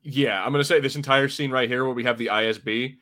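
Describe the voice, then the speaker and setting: Bright-voiced American man, casual podcast co-host. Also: mid-range voice, fairly even intonation, quick pace. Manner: rambling, informal. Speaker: podcast co-host reviewing a TV episode. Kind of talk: casual chat, fan commentary.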